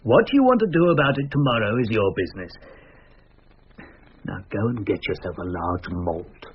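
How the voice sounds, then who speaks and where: A senior police chief in a radio drama. He is gruff, firm and fatherly, with a fairly low, lively voice. The sound is dull and slightly noisy. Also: moderate pace. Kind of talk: British radio drama acting.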